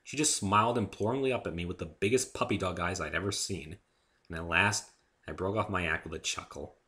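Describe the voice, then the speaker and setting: A man's voice: low-pitched, brisk, bright, forceful tone. A man giving a dramatic reading of his own first-person story as narrator.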